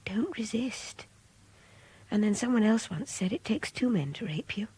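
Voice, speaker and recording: An actress playing a young kidnapped Englishwoman in a radio drama, confiding her fear and contempt in a hushed, breathy, intimate diary monologue. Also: a little high, animated, normal speed. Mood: troubled, loathing. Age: young adult. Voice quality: breathy, often near-whispered, gravelly edge. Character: young kidnapped woman captive, frightened, contemptuous, passionate, intense.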